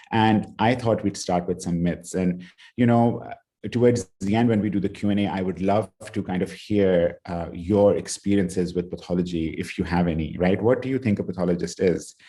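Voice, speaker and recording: Low-voiced man giving a relaxed online lecture. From Pakistan. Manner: relaxed, with many fillers like "you know" and "kind of". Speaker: pathologist giving a talk. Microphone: video call.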